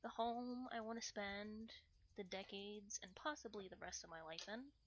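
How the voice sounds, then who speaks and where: A woman's voice: mid-range voice, normal speed, a little hiss or hum. A woman chatting casually on a livestream at home while doing chores, in a rambling, hesitant way with trailing phrases. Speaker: livestream host reading and answering chat. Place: small home bathroom.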